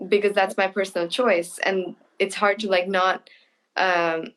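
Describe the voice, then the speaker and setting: A woman's voice: mid-range voice, little pitch movement, poor audio. A woman author being interviewed, talking casually and thoughtfully, often restarting her sentences. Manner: thoughtful, halting with restarts and soft laughs.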